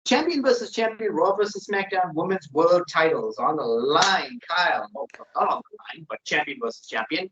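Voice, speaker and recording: A man's voice: fairly high pitch, expressive, moderate pace, few pauses, background noise. A man co-hosting a wrestling predictions podcast in casual, opinionated chat. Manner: opinionated, ranting. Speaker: podcast co-host. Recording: dull lo-fi sound.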